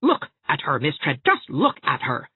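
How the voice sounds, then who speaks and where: Woman doing a haughty indignant mother, storybook, husky, phone-like audio. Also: low-pitched, big pitch swings, a little slow, no room sound, exaggerated voice. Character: indignant, pompous middle-aged mother. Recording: dry tinny phone-like audio.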